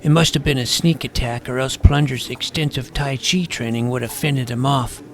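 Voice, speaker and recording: An actor playing a nerdy, earnest junior high schoolboy in a comedic audio drama, talking in a precise, wordy way. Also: male voice, fairly even intonation, normal speed, close to an everyday voice. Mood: earnest narrating. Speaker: narrator and lead character.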